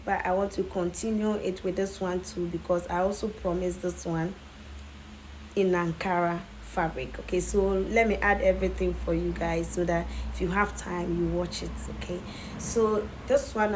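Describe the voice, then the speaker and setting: A woman with a hoarse voice from days of coughing, walking viewers through a headwrap tutorial in a casual, chatty way and often checking in with "okay?". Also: mid-range voice, darkish tone, slight background noise, poor audio. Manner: chatty, keeps adding "okay?". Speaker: tutorial host.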